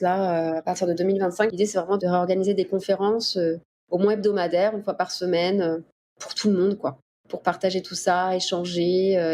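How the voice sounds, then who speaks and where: A middle-aged woman from the Paris region answering interview questions in a casual, explanatory way with hesitant pauses. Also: on the low side, dark tone. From Paris region, France. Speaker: interview guest, dolphin researcher. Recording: clear background ambient noise.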